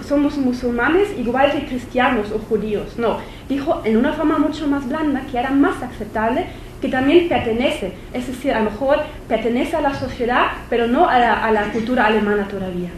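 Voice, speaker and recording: German-accented woman giving a uni talk, kinda high voice, lots of ums, echoey room with a bit of hiss. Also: fairly quick. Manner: hesitant, many fillers and restarts. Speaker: visiting lecturer. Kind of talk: academic conference talk. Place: university lecture room. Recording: echoey lecture hall, faint noise.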